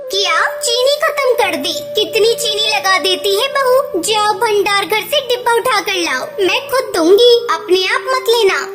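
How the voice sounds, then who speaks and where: A woman's voice: very high-pitched, lively intonation, unhurried, hardly any pauses, over music. A woman voicing a miserly, cunning, bossy mother-in-law bird in a cartoon, with a scolding, haggling, emphatic delivery. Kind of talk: Hindi cartoon voice acting.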